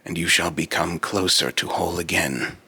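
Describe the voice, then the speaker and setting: Man voicing a very deep, gravelly otherworldly immortal. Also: fairly even intonation, sharp tone, full character voice. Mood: solemn, instructive. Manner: grandiose, archaic, halting delivery with repeated phrases. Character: solemn otherworldly immortal being with deep robotic electric voice. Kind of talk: dramatic character monologue.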